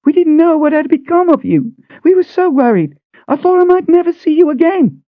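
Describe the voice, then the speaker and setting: Man doing a shrill, gushing older kitchen mistress, squeaky high falsetto, strange thin timbre, tinny narrow sound, audiobook voice. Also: lively intonation, fairly quick, no room sound. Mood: tearful joy. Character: shrill excitable older woman palace cook. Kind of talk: audiobook character voice.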